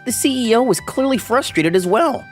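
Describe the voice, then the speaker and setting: A man's voice: extremely high pitch, animated, moderate pace, faint music behind. A man playing a polite, earnest young businessman who narrates his own story in a manga dub. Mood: calm narration.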